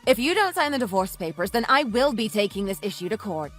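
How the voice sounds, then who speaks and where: A woman's voice: mid-range voice, very animated, fast, a bit bright, soft background music. A woman playing an angry, resolute wife in a radio drama, with a firm and scornful manner. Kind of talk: dramatized story dialogue.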